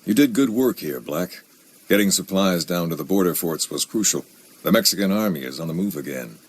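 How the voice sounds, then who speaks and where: An actor playing a commanding, stern American army major in a game cutscene, with an authoritative, military manner. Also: male voice, low-pitched, animated, a little fast, background noise. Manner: authoritative, clipped military orders. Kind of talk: video game cutscene acting. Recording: dramatic music behind.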